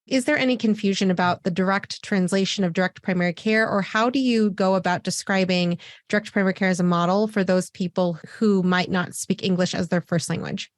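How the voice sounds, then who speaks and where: A woman's voice: medium pitch, steady tone, brisk, no room sound. Podcast host woman, dry close sound. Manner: affirming, long multi-part questions. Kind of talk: interview questions.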